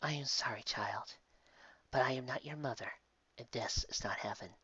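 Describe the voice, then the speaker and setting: A solemn, gentle winged woman from a story, voiced by a man. The delivery is soft and measured, on a dry, slightly dull recording. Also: mid-range voice, breathy edge, dry recording.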